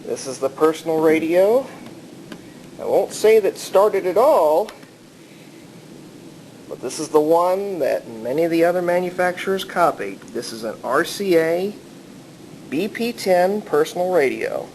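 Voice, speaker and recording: Man giving a demonstration, clearly noisy recording. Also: on the high side, animated, unhurried, long pauses, darkish tone. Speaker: collector showing a vintage radio. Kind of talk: casual hands-on show-and-tell narration.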